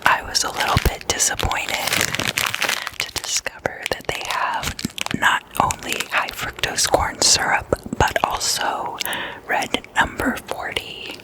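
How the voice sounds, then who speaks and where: Casting: ASMR host, whispered voice, slow pace, chatty and intimate sleepover style. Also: low-quality recording. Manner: intimate, addressing the listener as a guest. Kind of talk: whispered ASMR, casual chatty slumber-party role-play.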